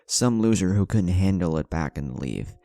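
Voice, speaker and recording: A man voicing a defiant, coolly confident teenage ninja in a story, with a mocking, deliberate delivery. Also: deep voice, animated, dark tone, no echo, studio quality. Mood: taunting, menacing.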